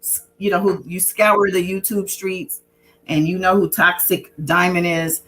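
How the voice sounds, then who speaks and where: A woman's voice: fairly low pitch, little pitch movement, unhurried, a bit bright. Laid-back woman hosting a live chat. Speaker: host and tarot reader. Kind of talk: casual live-stream chat.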